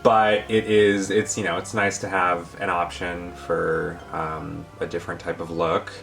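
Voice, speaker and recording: Low-voiced man co-hosting a casual review. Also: little pitch movement, measured pace, creaky, a bit bright, soft background music. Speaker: doll review channel co-host. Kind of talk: casual product review chat.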